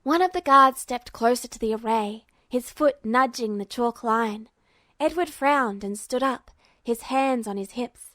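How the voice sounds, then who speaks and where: High-voiced woman narrating fiction. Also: unhurried, a bit bright, no echo, studio quality. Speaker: podfic narrator.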